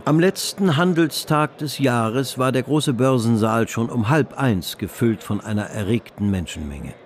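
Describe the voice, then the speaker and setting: A man's voice: medium pitch, lively intonation, a little slow, a little hiss or hum. A man narrating a historical radio drama in a composed, scripted storytelling style. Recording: music under the narration.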